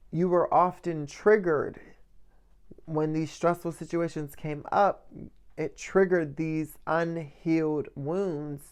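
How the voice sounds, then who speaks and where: A man with a light, soft voice giving a casual motivational monologue as a vlogger, often checking in with the listener. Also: a little high. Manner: conversational, checks in often with "right?" and "you know".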